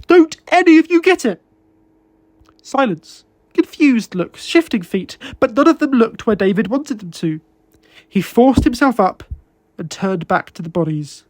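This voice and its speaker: A man narrates a short story in a dramatic audiobook style. His delivery is deliberate and literary, with feeling and weighted pauses. The recording is dry, with little sense of a room around the voice. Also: high voice, darkish tone, no echo.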